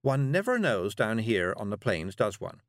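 A man's voice: fairly low pitch, sing-song, normal speed, dry recording, studio quality. A man voicing a fussy, absent-minded woman witch in a storybook, with an apologetic, dithering, genteel manner. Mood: modest, apologetic.

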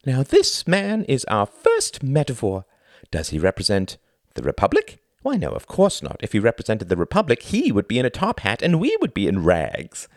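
A man voicing a flamboyant, theatrical thin stage performer in a grandiose, excitable manner. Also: medium pitch, moderate pace, no echo, clean studio sound, voice pushed up.

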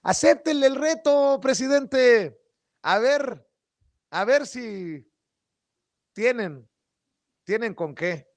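High-voiced Mexican man, dry studio sound. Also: lively intonation, takes it slowly, pauses often, no echo. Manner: colloquial, emphatic, addresses listeners directly. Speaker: political talk show host. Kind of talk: casual news commentary.

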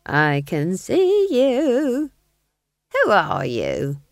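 A woman's voice: medium pitch, wildly swooping pitch, very slow, no echo, clean studio sound, full character voice. A woman voicing a blunt, earthy old witch, cheeky and colloquial and never impressed, in a fantasy audiobook. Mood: blunt, curious.

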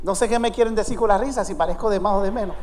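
A man's voice: high-pitched, racing, a little mellow, slight background noise. A man in his fifties with a Puerto Rican Spanish accent, preaching a sermon and calling out to his congregation. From United States. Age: 50s. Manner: preaching with call-and-response to the congregation. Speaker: pastor. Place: church sanctuary. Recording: long reverb of a large church hall.

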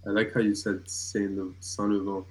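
A man in his early twenties, a native French speaker, chatting as a guest in a relaxed, laid-back way. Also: lowish voice, normal speed, breathy edge, rough recording. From Palestine. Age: early 20s. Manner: relaxed, laid-back, slangy, frequent restarts. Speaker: guest musician. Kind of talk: casual conversational interview.

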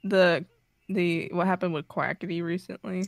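This is a laid-back guest on a casual podcast, with a soft and easygoing way of talking. The voice is very gravelly with vocal fry. It sounds dry and close to the mic. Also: mid-range voice, steady tone, moderate pace, no echo.

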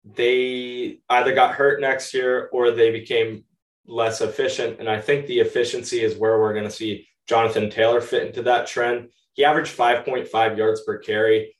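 A man's voice: mid-range voice, steady tone, normal speed. A young man who sounds a little stuffed up, co-hosting a podcast with casual fantasy football analysis. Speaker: podcast co-host. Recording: roomy echo, distant from mic.

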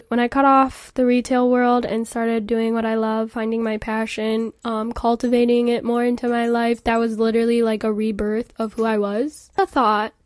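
A woman's voice: a little high, no room sound, clean studio sound. A woman in her mid-twenties hosting a podcast, chatting informally in youthful, casual phrasing. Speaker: podcast host. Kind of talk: casual rambling podcast monologue on self-care.

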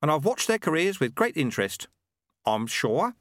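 A man voicing an ancient, calm and shrewd monk in a storybook reading, with a raised, lively voice. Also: fairly high pitch, normal speed, dry recording, studio quality, pitched above the performer's own voice. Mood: calm polite. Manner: polite, calm.

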